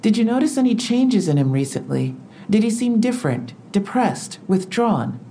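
An actress playing a composed, wry police investigator in an audio drama, speaking in a dry, measured, matter-of-fact way. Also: female voice, a little high, sing-song, warm tone, slight background noise. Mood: calm, pressing. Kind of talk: full-cast audio drama acting.

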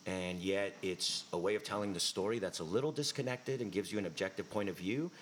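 A man's voice: sits low, fairly quick, a little hiss or hum, low-quality recording. A man speaking as a guest at a live podcast interview, effusive and full of stumbling restarts. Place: live event venue with audience.

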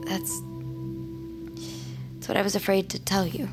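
A woman's voice: mid-range voice, completely flat delivery, a little slow, over music, lightly acted. An actress playing a practical, guarded woman helping investigate the occult in an audio drama. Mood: uneasy, confiding.

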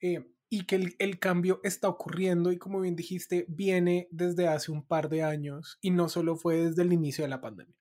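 A woman's voice: lowish voice, steady tone, normal speed, few pauses, dark tone. A woman interviewing a guest, giving short affirming replies and asking brief questions.

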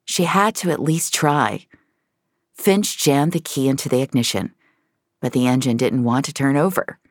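A woman's voice: a bass voice, no room sound, clean studio sound. A woman narrating scripted audio fiction in a dramatic storytelling style.

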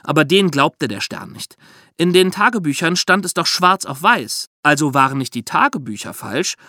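Male podcast host, higher register, highly animated, conversational true crime explainer, dry sound. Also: moderate pace, no echo.

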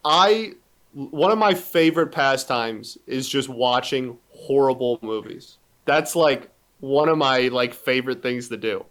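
A man's voice: medium pitch, expressive, measured pace, fairly bright tone. A man in his early twenties co-hosting a podcast, talking casually with many restarts and fillers. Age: 20s. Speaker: podcast co-host. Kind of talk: casual chat.

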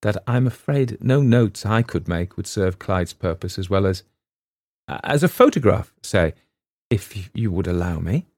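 Man doing polite young gentleman, persuasive, slightly halting, low voice, dry. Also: animated, no room sound, exaggerated voice. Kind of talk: audiobook reading.

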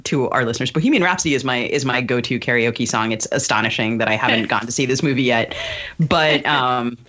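A woman's voice: very deep voice, fast talker, fairly bright tone. A woman with an American accent hosting a conversational podcast, phrasing things thoughtfully and a little hesitantly. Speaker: podcast host.

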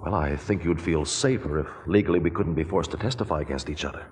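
An actor playing a smooth, controlling lawyer in a 1940s radio drama, speaking in a measured, formal and persuasive way. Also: male voice, very deep voice, darkish tone, full character voice. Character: smooth, controlling, scheming lawyer, adult man. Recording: vintage radio, long reverberant tail.

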